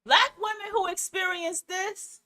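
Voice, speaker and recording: A woman's voice: very high voice, steady tone, measured pace, bright, forceful tone. A woman hosting a livestream podcast and reacting to a video, talking bluntly and with profanity. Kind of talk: casual livestream commentary.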